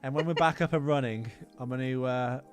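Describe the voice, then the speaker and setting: A man's voice: mid-range voice, fairly quick, soft background music. A man co-hosting a casual film podcast, talking in an opinionated, rambling way with plenty of swearing. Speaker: podcast co-host.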